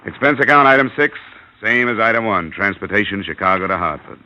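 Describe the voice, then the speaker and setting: A tough, sardonic insurance investigator reads out his case in clipped radio noir style. The voice is deep with a hard, forceful edge. The vintage recording is slightly dull. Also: animated, a little fast. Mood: wry, dry. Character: hard-boiled, wry freelance insurance investigator. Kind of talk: 1950s radio noir narration.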